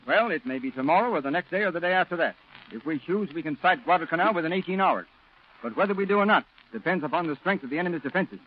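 An actor playing a sober, formal Marine colonel who speaks decisively in a 1940s radio drama. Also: male voice, fairly high pitch, lively intonation, fast talker. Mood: formal briefing. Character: authoritative, sober Marine colonel briefing his officers. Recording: muffled old radio broadcast recording.

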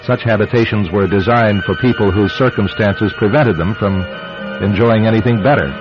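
A man hosting and narrating a scripted radio drama in a dramatic, deliberate storytelling manner. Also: on the low side. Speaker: host and narrator. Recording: muffled old radio broadcast, faint music.

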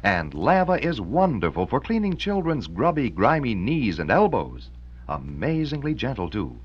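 A man's voice: medium pitch, wildly swooping pitch, moderate pace, husky. A man with an American accent reading a 1940s radio advertisement with a punchy, emphatic sales delivery. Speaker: commercial announcer. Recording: muffled vintage radio recording.

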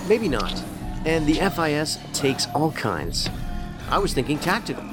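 Audio drama role: wry, probing agent, mid register, lively inflection, scored underneath. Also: male voice, fairly high pitch, moderate pace, music in the background. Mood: encouraging, dry. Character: shrewd, probing male federal agent.

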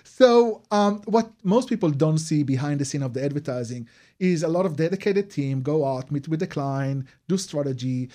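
A man's voice: fairly high pitch, moderate pace, dark tone. Israeli-accented man explaining his craft. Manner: earnest, explanatory, non-native phrasing. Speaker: guest, advertising and PR agency head. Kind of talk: radio interview answers.

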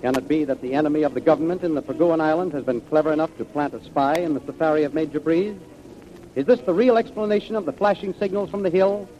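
A man's voice: on the high side, fast, mellow. A man narrating as a 1930s radio serial announcer, in a dramatic, declamatory manner. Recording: muffled, hissy vintage radio recording.

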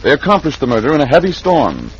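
An American man narrating a 1940s radio serial with dramatic, crisp storytelling. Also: mid-range voice, huge pitch swings, quick pace, slight background noise. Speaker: announcer and narrator. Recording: muffled vintage radio, faint organ music.

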